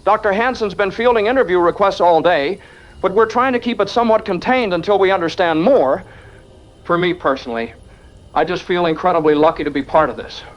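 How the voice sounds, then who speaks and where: A man's voice: high voice, brisk, natural voice. A man playing an earnest young university lab researcher, speaking conversationally in an interview-style audio drama. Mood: proud, excited. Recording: dull audio with background noise.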